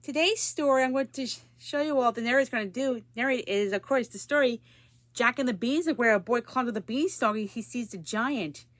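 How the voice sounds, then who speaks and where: A woman hosting a story time series, introducing it in an informal, halting way. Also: a little high, fairly bright tone, no room sound. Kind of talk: casual introduction to viewers.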